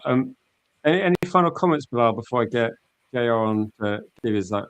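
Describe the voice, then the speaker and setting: A man talks in a medium-pitched voice, chatting in a relaxed, informal style. He sounds like the host of a fan call-in show, steering the conversation between guests. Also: fairly quick, smooth. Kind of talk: casual chat.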